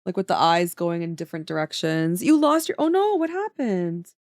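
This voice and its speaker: A woman talks to her stream chat as she draws, her voice moving lively up and down in pitch. She often trails off mid-sentence as her attention goes back to the work. The sound is dry and close, with no room echo. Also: moderate pace. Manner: chatty, talks to viewers while working, trails off mid-sentence. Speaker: streamer drawing emotes. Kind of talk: casual chat with chat while drawing.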